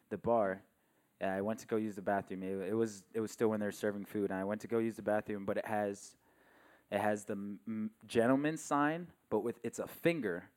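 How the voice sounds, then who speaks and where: A man co-hosting a podcast in casual, crude banter, soft-spoken and laid-back, sitting a little too far from his microphone. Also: fairly low pitch. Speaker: podcast co-host. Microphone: podcast microphone held too far from mouth.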